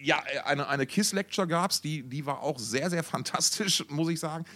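A man's voice: on the high side, normal speed, fairly bright tone. A man co-hosting a podcast in casual, slangy, enthusiastic music talk, often repeating words as he searches for phrasing. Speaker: podcast co-host.

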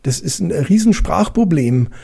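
A man's voice: on the high side, expressive, unhurried, creaky, warm tone, husky. A middle-aged man with a Franconian German accent, a former police officer telling stories in a loose, colloquial way with many fillers and restarts. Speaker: retired police officer guest. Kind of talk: casual anecdotal storytelling.

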